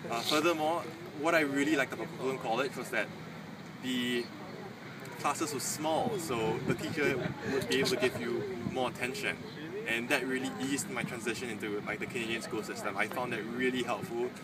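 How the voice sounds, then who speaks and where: A man's voice: mid-range voice, fairly even intonation, fairly quick. Young man from Singapore, outdoor testimonial. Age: 20s. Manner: hesitant with frequent uh fillers. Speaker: former student giving a testimonial. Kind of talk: casual spoken testimonial to camera. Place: outdoors in front of a campus building. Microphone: camera mic from a few meters away. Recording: distant voice with loud outdoor noise.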